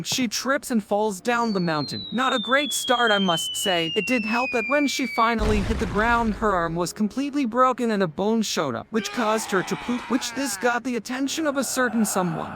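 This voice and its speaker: A man reads a scripted recap of a story as a video narrator. His voice is clearly high-pitched for a man. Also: background noise.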